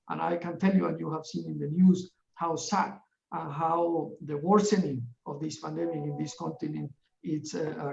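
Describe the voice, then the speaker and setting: A middle-aged man from Ecuador gives a formal, earnest opening speech, pausing often with fillers. His voice has a gravelly edge and sounds roomy and set back from the mic. The sound is dull and muffled. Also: a little high, darkish tone. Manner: earnest, deliberate, halting with frequent fillers. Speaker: webinar chair, UN division director. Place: office in a large UN building. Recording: echoey, distant and dull-sounding.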